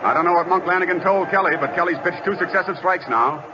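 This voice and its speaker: A man's voice: high-pitched, quick pace, natural voice. A man playing a radio baseball announcer in an old radio drama, calling the game in dramatic, punchy phrases. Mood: tense narration. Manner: dramatic, punchy short calls. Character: excited male radio baseball play-by-play announcer. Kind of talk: 1940s-50s radio drama sports commentary. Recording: muffled old radio recording, roaring crowd, noise. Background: loud stadium crowd.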